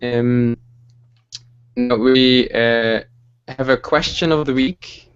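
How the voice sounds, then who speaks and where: A man in his twenties from Belgium hosting a casual group livestream, relaxed and halting with many fillers and soft laughs. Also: medium pitch, smooth, dull audio. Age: 20s. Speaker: livestream host.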